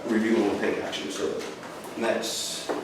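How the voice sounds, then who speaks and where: A man chairing a board meeting, speaking in an informal, halting way and correcting himself as he goes through procedure. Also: fairly low pitch, flat delivery. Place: indoor board meeting room. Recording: echoey meeting room, distant mic, noisy.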